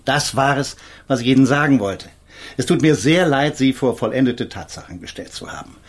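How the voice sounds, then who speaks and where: A man acts out an eminent, middle-aged defence lawyer in a radio crime play. The voice is husky and mid-pitched. He speaks formally, with smooth, condescending politeness. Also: natural voice. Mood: courteous, condescending. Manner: formal, measured, patronising courtesy. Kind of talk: German radio crime drama acting.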